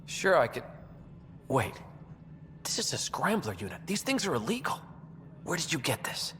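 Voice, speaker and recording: A man's voice: fairly high pitch, huge pitch swings, normal speed, fairly bright tone, a little hiss or hum. A man voice actor playing a wry, obliging space station tech specialist in a video game, in a reverberant space. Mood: alarmed, suspicious.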